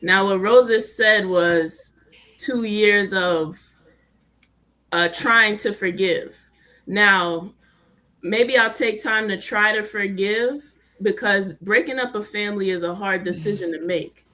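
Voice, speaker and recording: A woman on a panel chat giving a thoughtful, measured answer with pauses. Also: mid-range voice, pushed and bright.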